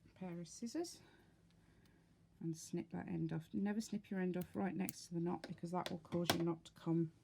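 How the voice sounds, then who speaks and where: A woman's voice: on the low side, fairly even intonation, normal speed, vocal fry, a little mellow, breathy edge. A woman giving a casual, step-by-step craft tutorial, talking informally and unhurriedly as she works.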